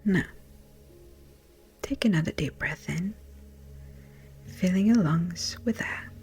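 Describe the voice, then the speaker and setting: A woman with a breathy, whispery voice gently and soothingly guides a meditation in a measured way. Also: on the low side. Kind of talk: slow guided meditation narration. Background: music.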